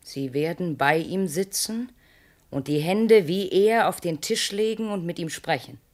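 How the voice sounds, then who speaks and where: Actress as a cool, commanding woman in a radio play, low voice, calm insistence. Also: measured pace, lightly acted. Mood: insistent. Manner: calm, insistent, sometimes whispering. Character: cold, commanding woman urging a murder.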